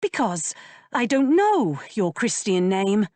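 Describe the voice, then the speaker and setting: A woman voicing a quick-tempered, sharp-tongued young lady who speaks in a tart, exasperated way. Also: medium pitch, big pitch swings, no echo, pitched above the performer's own voice.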